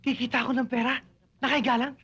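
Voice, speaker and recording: An actor playing a timid, reluctant stunt double who keeps complaining about the dangerous work. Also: male voice, extremely high pitch, little pitch movement, fast talker, lo-fi sound. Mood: woozy. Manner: whiny, complaining, hesitant.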